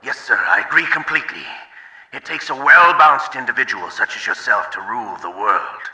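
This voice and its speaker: A man's voice: medium pitch, animated, fairly quick, pushed and bright. An actor playing a sly, deferential secret agent in a video game, reporting to his superior in clipped, conspiratorial phrases. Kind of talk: dramatic video game voice acting, one-sided call. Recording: roomy echo.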